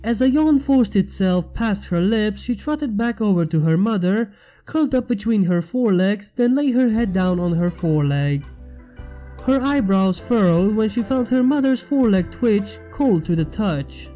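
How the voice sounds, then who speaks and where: A woman narrates a story from a script in a mellow, relaxed voice of medium pitch. Faint music plays behind her reading. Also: moderate pace, poor audio. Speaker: fan fiction reader.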